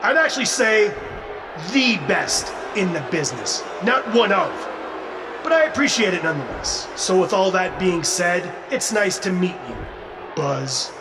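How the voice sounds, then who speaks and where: A man playing a smug, condescending veteran wrestling champion in a video game, with pompous, measured, theatrical speech. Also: sits high, expressive, a crowd in the background. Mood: grandiose. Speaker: professional wrestler. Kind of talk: in-ring wrestling promo. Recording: distant mic with clear noise.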